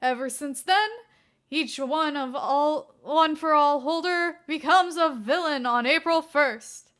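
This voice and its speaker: A mischievous grown hero revels in playing the villain, as a woman storyteller puts him on. The delivery is theatrical and boastful, with a bright, forceful edge and raised pitch. Key words are hit hard, as in a dramatic storybook. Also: slow. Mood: gleeful, boastful. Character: mischievous middle-aged male superhero playing gleeful prank villain.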